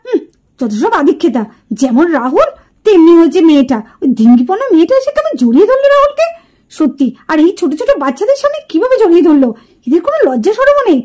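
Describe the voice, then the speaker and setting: Woman as a high-voiced, sharp-tongued village girl. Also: big pitch swings, fast talker. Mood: annoyed, scornful. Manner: sarcastic, curt, muttering scornfully. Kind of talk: puppet cartoon drama acting.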